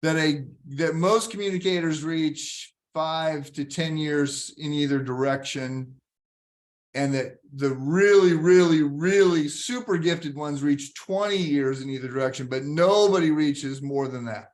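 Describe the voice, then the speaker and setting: An interview host in his sixties with a slightly raised pitch. He chats easily, tells stories, and strings his questions into several follow-ups. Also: unhurried. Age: 60s. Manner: chatty, anecdotal, asks layered follow-up questions. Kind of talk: casual interview conversation.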